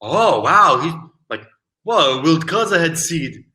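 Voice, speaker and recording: A man's voice: a little high, big pitch swings, takes it slowly, sharp tone. A young man, an English tutor, chatting casually on a video call, telling stories animatedly and mimicking locals.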